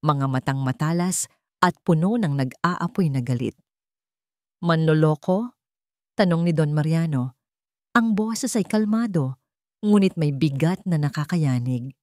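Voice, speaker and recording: A woman with a low voice narrates a scripted, dramatic story. The recording is dry and close, with very little room sound. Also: animated, unhurried, darkish tone, no echo.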